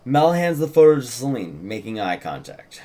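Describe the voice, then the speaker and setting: A man's voice: medium pitch, very animated, moderate pace, dark tone. A man narrating stage directions at a table read, a writer and co-host who comments casually on the script.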